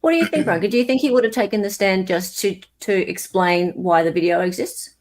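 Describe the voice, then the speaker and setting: A woman's voice: medium pitch, a little fast, darkish tone. A woman with an Australian accent hosting a casual panel discussion, agreeing and restating points to check them.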